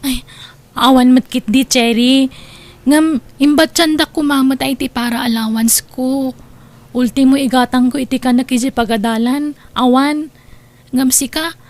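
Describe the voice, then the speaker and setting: A woman's voice: high-pitched, fairly even intonation, moderate pace, darkish tone, close to an everyday voice. A woman with an Ilocano accent acting a needy young student, the younger sister, in a radio-style drama. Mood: pleading. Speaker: voice actor.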